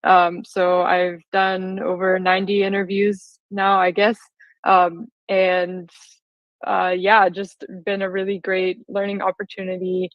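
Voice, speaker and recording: A woman's voice: mid-range voice, completely flat delivery, unhurried. A woman in her twenties with an American accent, giving a conversational presentation to an audience as a guest speaker. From California, United States. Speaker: guest speaker, software engineer. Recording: slightly roomy, dull lo-fi sound.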